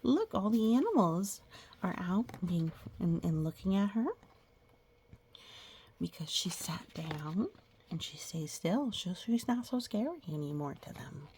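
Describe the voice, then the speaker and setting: A woman reading a picture book aloud to young children, gently pausing to explain things and ask questions. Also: on the low side, expressive, slight background noise. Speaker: children's story reader.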